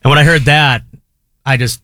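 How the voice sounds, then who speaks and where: A man guesting on a radio show, talking casually with a loud voice in a rambling, hesitant way. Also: medium pitch, fairly even intonation, moderate pace. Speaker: studio guest. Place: radio studio. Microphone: studio mic.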